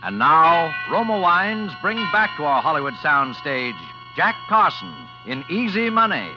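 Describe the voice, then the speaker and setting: A man's voice: a little high, big pitch swings, measured pace. A man announcing a radio drama in a grand, dramatic style. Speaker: show announcer. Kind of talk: formal radio drama introduction. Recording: dull 1940s radio recording with music. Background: music.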